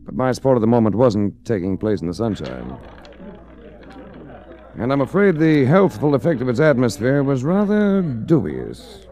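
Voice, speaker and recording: An actor playing a dry, unhurried hard-boiled investigator in a 1940s radio drama, narrating and talking in character. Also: male voice, medium pitch, huge pitch swings, warm tone, faint music behind. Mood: wry narration. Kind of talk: 1940s radio acting, first-person narration and dialogue.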